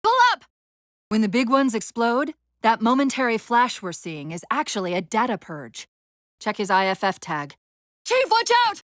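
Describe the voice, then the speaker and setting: An actress playing a female military AI companion in a video game, urgent and witty but fraying under strain, with crisp tactical delivery and dry sarcasm. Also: on the high side, very animated, moderate pace, fairly bright tone, no room sound. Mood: urgent, commanding. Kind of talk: dramatic game voice acting.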